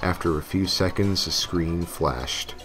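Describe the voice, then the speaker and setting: Low-voiced man narrating over music. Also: measured pace. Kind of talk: scripted story narration. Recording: music playing behind the voice. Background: novelty songs and game music.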